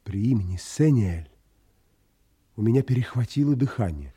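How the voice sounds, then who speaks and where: An actor playing an earnest, reflective gentleman traveler, narrating an audio drama in literary, polite phrasing. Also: medium pitch, expressive, slightly rough. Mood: reflective, wistful. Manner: literary, measured, polite but firm.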